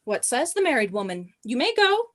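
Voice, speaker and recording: A woman's voice: high-pitched, sing-song, brisk, fairly bright tone. A woman playing a capricious, jealous, imperious queen in a Shakespearean radio play, with melodramatic delivery. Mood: jealous, scornful.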